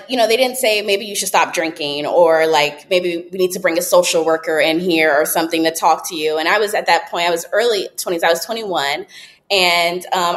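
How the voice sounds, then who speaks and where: A woman in her late twenties telling her story as a podcast guest, candid and relaxed, with frequent ums and likes. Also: medium pitch. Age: 20s. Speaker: podcast guest, app founder. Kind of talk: casual interview storytelling.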